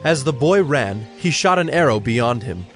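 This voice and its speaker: A man's voice: mid-range voice, lively intonation, moderate pace, faint music behind. A man narrating a dramatized Bible story from a script.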